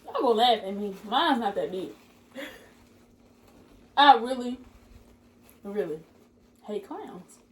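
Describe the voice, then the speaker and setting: A woman's voice: on the high side, expressive, slow, pauses often, low-quality recording. Young woman chatting candidly over food. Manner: candid, laughs often, talks with her mouth full. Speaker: co-host. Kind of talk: casual chat while eating.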